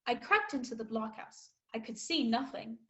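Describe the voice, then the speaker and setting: A woman playing a plucky boy adventurer who narrates his own tale in measured, literary style for a radio play. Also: on the high side, expressive, pushed and bright. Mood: proud, earnest.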